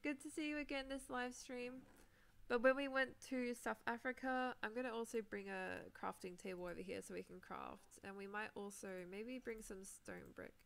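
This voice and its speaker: A woman's voice: fairly high pitch, fairly even intonation, moderate pace, vocal fry. A young woman from New Zealand chatting casually while playing a game on a live stream, rambling with fillers and frequent laughs. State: recovering sore throat. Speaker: Minecraft streamer.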